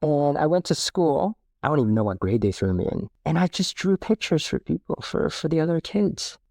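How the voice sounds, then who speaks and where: Animated, slightly gravelly middle-aged man. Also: a little high, fairly quick, no room sound, studio quality. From born in Vietnam, immigrated to Canada at ten. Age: middle-aged or older. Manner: informal, rambling, quotes imagined dialogue. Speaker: artist guest on a podcast. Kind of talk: casual, reflective storytelling interview.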